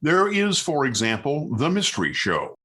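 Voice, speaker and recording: A man's voice: a little high, huge pitch swings, normal speed, heavy background noise. A man announcing and narrating a 1940s radio show in a formal, theatrical way. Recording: 1940s radio recording with music.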